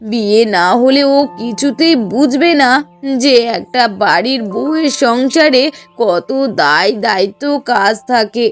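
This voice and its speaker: Earnest, high-pitched cartoon mother, played by a woman. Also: expressive, unhurried, soft background music. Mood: worried resolve. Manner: earnest, admonishing. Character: fair-minded, fretful middle-aged mother and mother-in-law. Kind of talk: Bengali cartoon voice acting.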